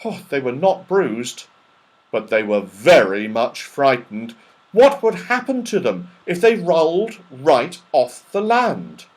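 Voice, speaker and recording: A man narrating a children's storybook in an expressive, dramatic, animated way. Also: medium pitch, slow, low-quality recording. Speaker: audiobook narrator.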